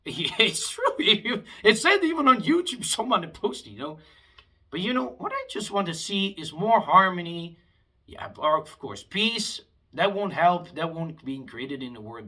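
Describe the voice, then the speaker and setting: A man's voice: on the high side, pushed and bright. A man, delivering a heated, rambling vlog monologue full of restarts. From Afghanistan. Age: 20s. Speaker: vlogger.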